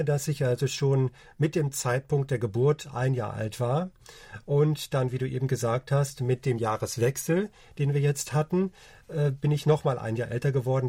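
A man in his forties co-hosting a casual podcast, explaining calmly with many hesitations. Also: mid-range voice, husky, no echo. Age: 40s. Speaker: podcast co-host.

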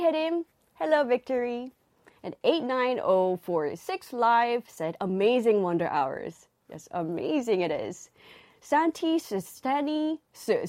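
A woman's voice: a little high, darkish tone, dry recording. A woman DJ hosting a live radio show in the studio, reading out listener messages in a bubbly, nervous way on her first broadcast.

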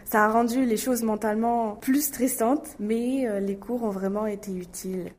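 A woman's voice: fairly high pitch, fairly even intonation, normal speed, darkish tone. A woman, an interpreting student, giving candid and personal interview answers. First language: not Korean.

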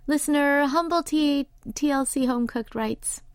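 A woman's voice: sits high, unhurried, no echo, clean studio sound. A woman radio DJ with an unusual accent, chatting casually and reading listener messages aloud between songs. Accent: American English. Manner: chatty, relaxed, with fillers. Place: radio studio. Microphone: studio microphone.

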